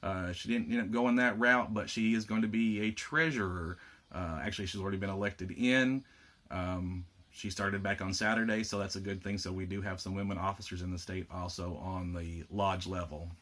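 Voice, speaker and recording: Plainspoken lower-voiced man. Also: fairly even intonation, normal speed, a bit bright. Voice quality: rough voice. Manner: plainspoken, frequent uh and um fillers. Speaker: state lodge administrator giving members an update. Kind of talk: casual informational video update.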